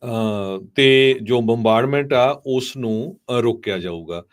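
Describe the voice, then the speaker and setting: A man presenting live radio news, relaying reports phrase by phrase with pauses and English terms mixed in. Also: medium pitch.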